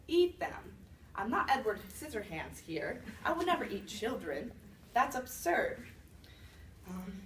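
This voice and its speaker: A woman playing an indignant old widow accused of being a witch, telling her side of a fairy tale in a conversational, halting monologue. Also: medium pitch, close to an everyday voice. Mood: offended, bitter. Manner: conversational, self-justifying, with halting restarts. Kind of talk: first-person storytelling monologue. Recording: roomy, distant mic with faint noise.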